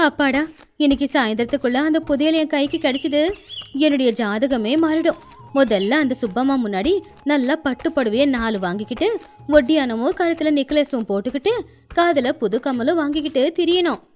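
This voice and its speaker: High-voiced greedy village wife played by a woman. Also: a little fast, a little mellow, natural voice. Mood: gloating. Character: Kanaka, quarrelsome, greedy, boastful village wife. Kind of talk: acted moral story for children. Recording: faint music underneath.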